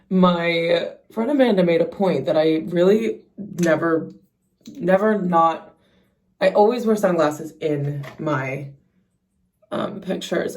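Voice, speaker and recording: Low, slightly raspy young woman vlogger. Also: a little mellow, far from the mic. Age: young adult. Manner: chatty, informal, frequent "like". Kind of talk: casual chat to camera while getting ready. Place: indoors at home.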